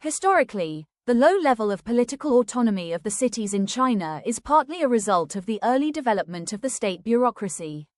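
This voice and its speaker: A woman's voice: on the high side, sing-song, quick pace, few pauses, no echo, studio quality. A woman reading a prepared practice passage aloud in a scripted narration style. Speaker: reader of exam practice passages.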